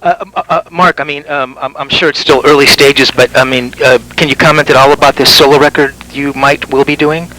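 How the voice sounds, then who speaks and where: A man's voice: medium pitch, fairly even intonation, fairly quick, hardly any pauses, pushed and bright, slight background noise, rough recording. A man interviewing a musician, asking questions hesitantly with many fillers.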